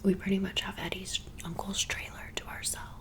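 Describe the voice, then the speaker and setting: A woman's voice: mid-range voice, little pitch movement, a little fast, natural voice. A woman voicing a friendly teenage cheerleader in a whispered ASMR roleplay, chatty and welcoming, close to the mic. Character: friendly teen girl cheerleader in a club. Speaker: ASMR creator.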